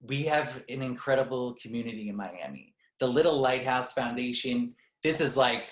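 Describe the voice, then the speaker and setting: Man, nonprofit leader, rambling warm delivery, mid-pitched, echoey room. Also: normal speed. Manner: warm, rambling, many fillers. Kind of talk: webinar presentation. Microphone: video call.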